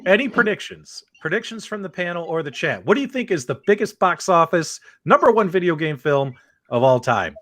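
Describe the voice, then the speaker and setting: Lively male host of a stream panel. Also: sits high, a little fast. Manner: lively, joking, quizzes the panel. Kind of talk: casual live-stream chat.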